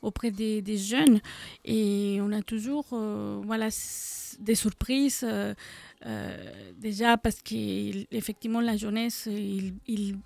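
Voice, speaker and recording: A woman's voice: mid-range voice, fairly even intonation, slow, gravelly, dark tone, no echo. A middle-aged woman from Mexico with a Mexican Spanish accent, a guest in a live radio studio interview, talking hesitantly with many fillers. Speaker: guest, association co-founder.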